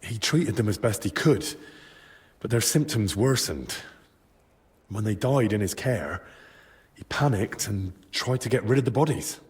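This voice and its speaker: A man playing an earnest, determined young man in a medieval video game drama, speaking in a measured, respectful way. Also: fairly low pitch, expressive, a little mellow. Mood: grave, explaining.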